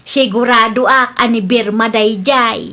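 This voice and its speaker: An actress in a radio drama playing a concerned, gossipy neighbor who talks in a confiding way. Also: female voice, a little high, unhurried, sharp tone.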